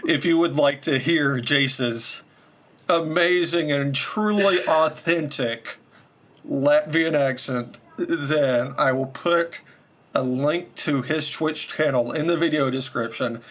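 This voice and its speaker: A man's voice: fairly high pitch, slow pace. Casting: male host, laid-back interviewer, conversational questions. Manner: relaxed, asks questions with a few fillers.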